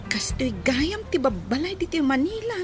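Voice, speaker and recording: A woman's voice: high-pitched, big pitch swings, moderate pace. A woman playing an observant young newcomer from the province in a radio drama. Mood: uneasy, observant. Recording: prominent music behind dialogue.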